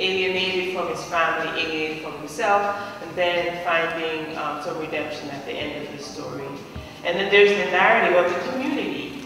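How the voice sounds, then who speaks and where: A man's voice: on the high side, bright, forceful tone, slight background noise. A man in his forties from Jamaica, a panelist speaking thoughtfully and searching for words at a discussion. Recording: far off mic in an echoey hall.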